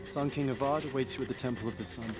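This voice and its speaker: Actor doing formal soldier messenger guy in a game, medium pitch, loud music behind. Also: racing, close to an everyday voice. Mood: formal, urgent. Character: formal, dutiful male soldier reporting as messenger. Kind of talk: video game voice acting.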